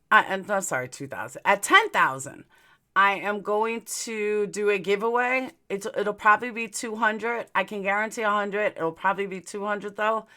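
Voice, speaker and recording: A woman's voice: mid-range voice, pushed and bright. A middle-aged woman with a New York accent and speech slightly affected by dental aligners, chatting casually and bluntly as a livestream host, rambling and swearing. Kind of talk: casual livestream chat with viewers.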